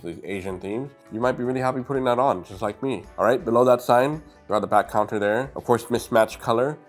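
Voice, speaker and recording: A middle-aged man with a lowish voice chats through a toy review in an upbeat, direct way, a little sniffly from a stuffy nose. He is recorded on a clip-on mic in a room full of shelves. Faint music plays behind him. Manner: chatty, upbeat, talks to viewers directly. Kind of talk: casual product review. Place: indoor room lined with shelves of brick sets. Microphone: clip-on lapel mic.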